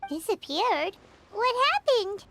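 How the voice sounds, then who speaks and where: Squeaky, childlike little game companion. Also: female voice, big pitch swings, takes it slowly, gravelly, bright, forceful tone, a little hiss or hum, heavily acted. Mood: puzzled. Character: small childlike floating companion, curious and earnest. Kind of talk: video game voice acting. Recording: music behind the voice.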